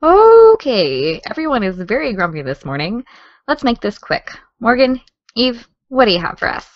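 A woman playing a determined, sardonic teenage podcaster in an audio drama, with a dry, brisk delivery. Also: on the high side, sing-song, no room sound, dull audio. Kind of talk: naturalistic audio drama dialogue.

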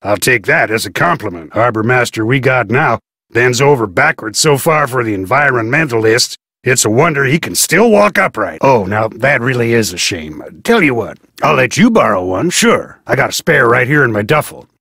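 Gravelly husky fisherman guy, folksy and drawly, kinda sharp edge. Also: mid-range voice, very animated, normal speed, no echo, studio quality, full character voice. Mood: gruff. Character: gruff folksy middle-aged male fisherman. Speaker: game character. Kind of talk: video game voice acting.

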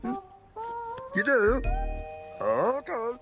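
Mumbling childish cartoon fellow, wildly swooping pitch. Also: male voice, huge pitch swings, drawn-out words, music in the background, heavily acted. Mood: pleased, muttering. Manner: mumbling, muttering in short bursts. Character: bumbling, childish, mumbling cartoon man, excitable. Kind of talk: animated comedy voice acting.

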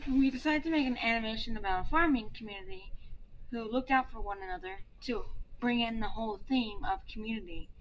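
A woman's voice: fairly high pitch, a little fast, lo-fi sound, a little hiss or hum. A young woman leading a student team, reading slides aloud with hesitant fillers and restarts. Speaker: team leader presenting a project. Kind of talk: reading a class presentation. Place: indoor room.

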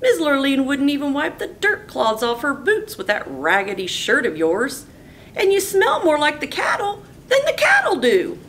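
A woman reading a storybook, voicing a hateful, lazy young cowboy who bosses and taunts loudly. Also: sits high, huge pitch swings, slight background noise, voice pushed up, heavily acted. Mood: mocking. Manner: loud, taunting, drawn-out.

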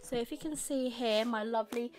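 A young woman with a slightly husky voice from a tickly throat, rambling casually through a manga haul in her bedroom. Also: fairly high pitch, darkish tone. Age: young adult. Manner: rambling, chatty, quick asides. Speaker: manga vlogger. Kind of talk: casual chatty haul vlog. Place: bedroom with manga shelves, indoors.

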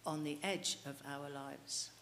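A woman's voice: deep voice, steady tone, slow pace, a bit bright. A middle-aged woman preaching a sermon at a church lectern mic, earnestly putting questions to the congregation. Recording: reverberant church hall, light hiss.

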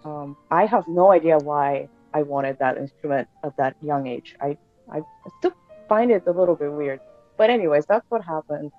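A woman in her thirties with a low voice, born in Bulgaria, tells her story in a relaxed, rambling way with plenty of fillers and restarts. She sits in a room at home, and the sound comes through thin and phone-like from a video call. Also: normal speed, soft background music. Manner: chatty, many fillers and restarts. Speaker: guest pianist. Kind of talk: casual interview chat. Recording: tinny video call audio.

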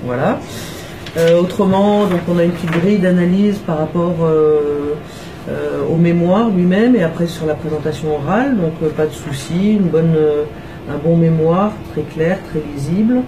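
A woman's voice: fairly low pitch, normal speed, noisy background. A woman on a jury giving polite formal feedback on a thesis, hesitating often with fillers. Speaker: jury member. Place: office meeting room.

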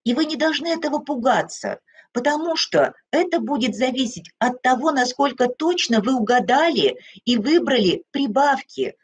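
A woman's voice: sits high, expressive, normal speed, slightly rough. An older woman in her sixties teaching an online sewing lesson, explaining at a dress form with many fillers, recorded on a webcam in a small studio.

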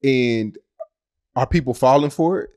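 Male interviewer, mid-pitched and casual. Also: measured pace, darkish tone. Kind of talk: casual interview questions, slangy.

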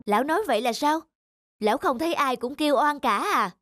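A woman plays a stern, middle-aged male governor in a cartoon. The delivery is formal and measured, on the high side in pitch. Music plays clearly underneath. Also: animated. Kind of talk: scripted cartoon dub.

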